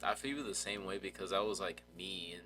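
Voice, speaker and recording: A man's voice: low-pitched, fairly quick. A young man hosting a podcast interview, chatting in an informal, slangy way. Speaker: podcast host. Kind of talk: casual chat.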